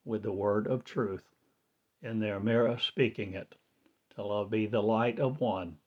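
A man with a lowish voice intones a grandiose, repetitive monologue in a trance-like chant. He speaks very slowly, drawing out each phrase. The recording sounds dull and lo-fi. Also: steady tone. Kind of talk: slow incantatory spoken-word monologue.

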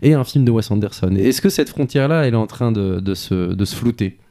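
A man's voice: on the low side, lively intonation, fast, warm tone. A man hosting a film discussion podcast who hesitates in debate and reads his scripted review fluently. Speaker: podcast host.

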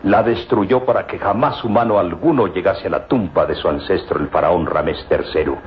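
An actor playing a grave, pensive older father and tomb explorer, speaking deliberately in theatrical old radio drama style. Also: sits low, close to an everyday voice. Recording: dull, noisy vintage radio recording.

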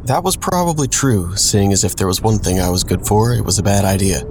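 Man as a low-voiced, wry, sardonic narrator. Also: brisk, background noise, natural voice. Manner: sardonic, digressive. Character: wry, self-deprecating man narrating his reckless youth. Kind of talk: scripted first-person audio drama narration.

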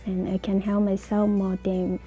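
A young woman from Laos telling her own story as an interview guest, in halting, simple phrasing. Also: mid-range voice, completely flat delivery, warm tone, faint music behind. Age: young adult.

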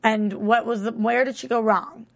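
A woman's voice: a little high, steady tone, normal speed, creaky. A woman co-hosting a casual TV recap chat, chatty and full of questions, laughing often. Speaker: co-host.

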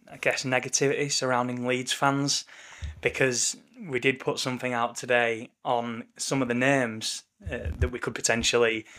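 A man with a croaky voice co-hosting a casual football podcast over a remote call, chatty and wry. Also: medium pitch, fairly bright tone. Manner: chatty, wry, leads the talk. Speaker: podcast co-host. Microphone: remote call recording.

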